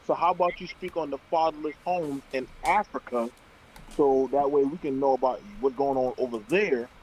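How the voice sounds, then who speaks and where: A man's voice: medium pitch, moderate pace, a little mellow, a little hiss or hum, low-quality recording. A man on a panel, confrontational and needling, using casual slang in a heated debate.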